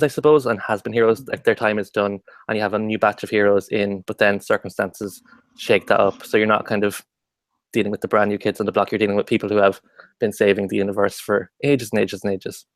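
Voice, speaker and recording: An Irish man offers his view in a low voice that hardly moves in pitch. He sounds dry and close to the mic, with a measured, even delivery. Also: no echo. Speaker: book club panelist. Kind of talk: casual discussion.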